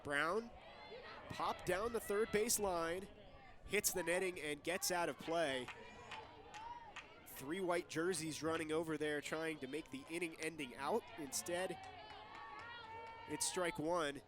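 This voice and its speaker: A young man doing live softball play-by-play as the lead commentator, in clipped, present-tense calls. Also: a little high, slow pace, long pauses, crowd noise. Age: young adult.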